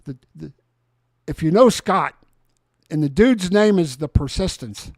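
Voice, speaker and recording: A man's voice: on the high side, animated, unhurried, darkish tone, dry recording, clean studio sound. A man in his sixties hosting a casual political livestream chat. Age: 60s. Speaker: talk show host.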